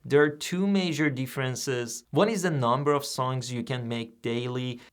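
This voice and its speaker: A man whose first language is Persian, hosting a software tutorial and explaining each step in a plain, steady way. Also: medium pitch. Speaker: tutorial host. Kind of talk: screen-recorded software tutorial.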